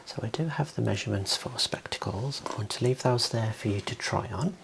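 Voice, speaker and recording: A man in his thirties whispering gently and soothingly in an ASMR roleplay as an optician, close to the mic in a small room. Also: fairly low pitch, brisk, gravelly, background noise. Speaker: ASMR performer playing an optician.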